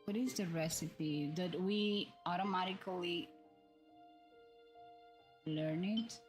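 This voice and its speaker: A woman's voice: fairly low pitch, takes it slowly, faint music behind. A woman chatting casually on a livestream while she plays a video game. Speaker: game streamer.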